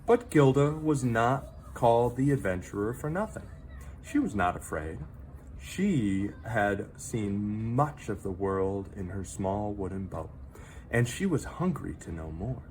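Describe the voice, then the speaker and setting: Animated, unhurried man reading a children's story. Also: on the low side, slight background noise, poor audio. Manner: dramatic storyteller delivery with pauses for effect. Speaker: teacher reading a picture book aloud. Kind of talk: expressive children's story read-aloud.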